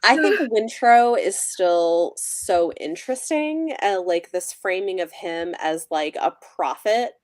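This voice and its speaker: A woman's voice: fairly low pitch, animated, takes it slowly, hardly any pauses, no room sound. Wry, thoughtful woman in a book chat. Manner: thoughtful, wry, full of likes and restarts. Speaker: book club co-host. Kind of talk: casual book discussion.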